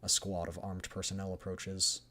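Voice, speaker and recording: Game streamer: low voice, story narration plus casual asides. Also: level tone, moderate pace, bright, forceful tone.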